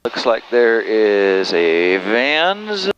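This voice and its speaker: A man's voice: on the low side, big pitch swings, extremely slow, fairly bright tone, smooth, muffled recording. A man who is a student pilot, talking himself through each step of flying into an aviation headset. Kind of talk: self-narrated cockpit commentary. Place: small aircraft cockpit in flight. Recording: noisy aircraft cabin, engine drone. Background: aircraft engine noise.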